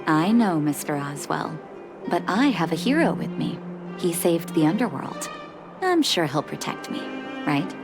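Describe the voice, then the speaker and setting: A woman playing a grave, resolute young healer in a video game, measured and reflective as she grieves a lost brother. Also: sits low, very animated, creaky, exaggerated voice. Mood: wistful. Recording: game music behind the voice.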